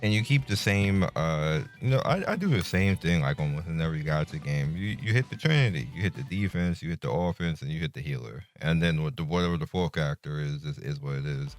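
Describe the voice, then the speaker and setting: A man's voice: extremely low pitch, lively intonation, fairly quick, hardly any pauses. A man giving casual, laid-back, slangy gameplay commentary. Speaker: gaming content creator. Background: faint game music.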